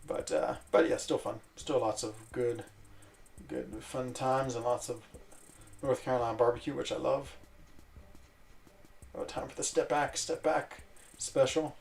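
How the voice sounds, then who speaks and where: A man who grew up in Switzerland, chatting casually and rambling while he plays a video game, breaking off into quick exclamations. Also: mid-range voice, fairly even intonation, gravelly, darkish tone. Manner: rambling, self-interrupting chatter with fillers and sudden exclamations. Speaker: gaming streamer.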